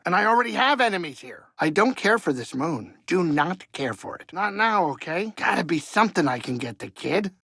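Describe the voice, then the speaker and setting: A gravelly, middle-aged alien starship pilot from a video game. He grumbles and frets in a chatty, wry way, with a kindly streak under the nerves. The sound is dry and close, with plenty of creak in the voice. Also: sits high, big pitch swings, moderate pace, hardly any pauses, sharp tone, no echo, heavily acted. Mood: fretful, grumbling. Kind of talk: video game character acting.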